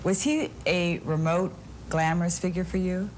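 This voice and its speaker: A female interviewer with a very low voice, asking calm, probing questions. Her measured pace fits a TV interview. A faint ambient hum sits behind her. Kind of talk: morning show interview.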